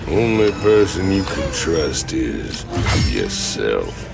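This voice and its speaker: An actor voicing a big, surly, mocking ex-convict outlaw in a video game, with a gravelly drawl. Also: male voice, extremely low pitch, sing-song, slow pace, over loud music, heavily acted. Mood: scornful, taunting. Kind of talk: video game cinematic acting.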